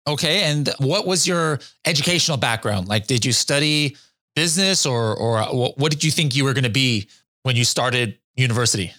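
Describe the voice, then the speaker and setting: A man's voice: mid-range voice, moderate pace, pushed and bright, dry recording, clean studio sound. A man hosting a podcast, asking questions in an upbeat, conversational way. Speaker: podcast host. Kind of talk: podcast interview.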